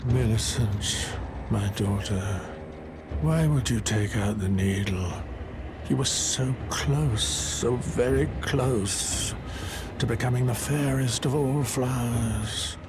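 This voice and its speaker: A man's voice: medium pitch, slow, noisy background, lightly acted. An actor playing a mournful, sinister father of a rotten brood in a video game, lamenting in a theatrical way. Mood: anguished reproach. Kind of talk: dramatic video game dialogue.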